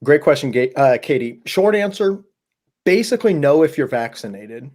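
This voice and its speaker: A man hosting a casual livestream Q&A, a bit hoarse, talking in a chatty, rambling way with many fillers. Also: a little high, lively intonation, normal speed, darkish tone. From Southwest Ohio. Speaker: host.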